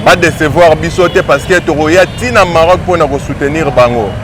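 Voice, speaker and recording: A man from Kinshasa with a Congolese French accent gives eager answers in an outdoor street interview. His voice sits somewhat high, and he mixes French with Lingala as he talks. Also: brisk, background noise. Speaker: activist guest. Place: outdoors at a city crossroads.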